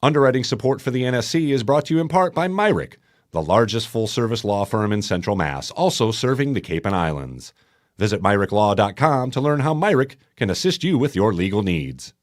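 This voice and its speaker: A man reading scripted sponsor thanks and a promo in an earnest, measured voice-over. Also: on the low side, sing-song. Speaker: promo narrator. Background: music bed.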